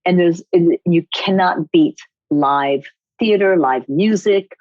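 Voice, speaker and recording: Middle-aged woman, lower register, animated guest storyteller; dry, slightly dull remote audio. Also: takes it slowly, no echo. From United States, Rhode Island. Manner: animated, expressive storyteller who laughs often. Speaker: guest choreographer. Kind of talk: casual interview conversation.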